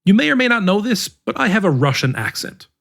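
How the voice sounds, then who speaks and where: A man narrating an article in the first person as the regular reader of a podcast, with a measured, expressive delivery. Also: high-pitched, creaky. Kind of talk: scripted narration.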